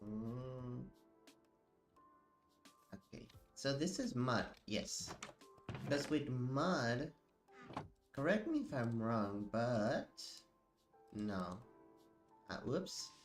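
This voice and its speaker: A sniffly man talks slowly and loosely into a desk mic while he plays, filling his sentences with "like" and starting over mid-thought. Faint game music sits low behind his voice. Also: very animated. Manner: rambling and chatty, many "like"s and self-corrections. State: sniffly from allergies. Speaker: streamer playing a video game. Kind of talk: casual live-stream chat while playing. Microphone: desk microphone.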